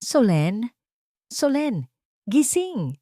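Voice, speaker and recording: A woman's voice: a little high, big pitch swings, extremely slow, dark tone, no echo, clean studio sound, pitched above the performer's own voice, exaggerated voice. A woman voicing an embittered, commanding man with buried tenderness in an audiobook drama. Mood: frightened, urgent. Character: embittered wheelchair-bound man, frightened and softening.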